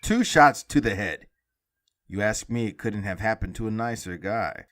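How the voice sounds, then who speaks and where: A man's voice: lowish voice, expressive, moderate pace, a bit bright. A man playing a brash, cocky young district attorney in an audio drama, sardonic and flippant.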